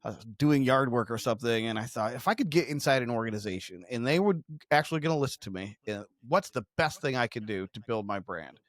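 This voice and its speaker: Male podcast co-host, medium pitch, casual storyteller, very dry sound. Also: expressive, fast talker, few pauses, no echo.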